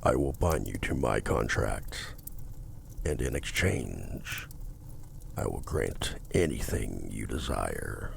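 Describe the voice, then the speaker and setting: Very deep, gravelly possessive demon. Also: male voice, expressive, a little hiss or hum, full character voice. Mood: possessive, commanding. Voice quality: very deep, gravelly, breathy at times. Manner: slow, formal, theatrical, commanding. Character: possessive male demon, courtly, menacing and seductive. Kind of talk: ASMR roleplay acting.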